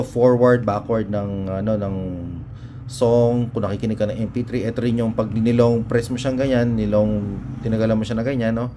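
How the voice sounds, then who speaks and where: A Filipino man presenting a product in a casual, unscripted review, hesitating as he searches for words and mixing Tagalog with English. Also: on the low side. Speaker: motorcycle vlogger presenting a product. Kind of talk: casual unboxing and review chat. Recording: noticeable background noise and room ambience.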